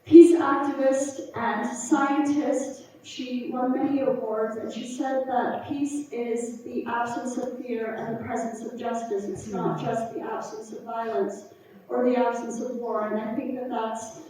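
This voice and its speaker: Earnest, slightly high-voiced woman panelist. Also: steady tone, unhurried, noisy background. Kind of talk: panel discussion. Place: conference hall. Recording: echoey hall, distant mic.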